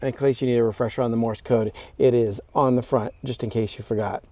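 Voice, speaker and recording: A man hosts in a casual, conversational way. He talks quickly in a soft-edged, mellow voice of average pitch. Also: fairly even intonation. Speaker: vlog host. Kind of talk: casual talk to camera.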